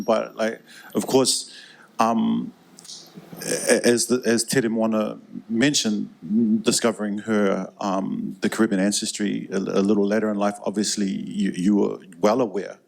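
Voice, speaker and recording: A man hosts a live panel discussion in a low, gravelly voice. He asks his questions haltingly, restarting several times and padding them with "um"s before he lands the point. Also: level tone. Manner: halting, many stammered restarts and fillers. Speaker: host.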